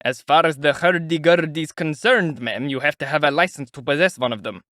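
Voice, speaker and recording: A man's voice: on the high side, brisk, bright, forceful tone, no echo, studio quality, voice pushed up. A man voicing a stern police officer ordering a woman about in an audiobook. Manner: stern, bossy.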